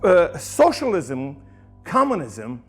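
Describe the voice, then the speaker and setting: A man's voice: a little high, wildly swooping pitch, slow, husky, soft background music. A man from Romania, giving an emphatic personal testimony and repeating phrases for force.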